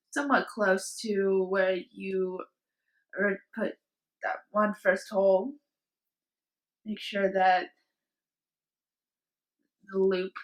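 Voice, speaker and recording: A young woman with a hoarse voice from a cold, casually talking through a craft tutorial from her bedroom. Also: medium pitch, low-quality recording. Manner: slow, rambling, many fillers. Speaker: cosplay vlogger. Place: bedroom at home.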